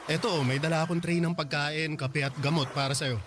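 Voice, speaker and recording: A man acting as a calm young man in a radio drama, patiently explaining and reassuring. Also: a little high, brisk, music in the background, natural voice.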